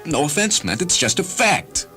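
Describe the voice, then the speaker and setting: Troubled, excitable male character, played by a male reader, halting delivery, swinging pitch, music underneath. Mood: uncertain, urgent. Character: agitated, confused man confessing, prone to outbursts. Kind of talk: dramatised story reading.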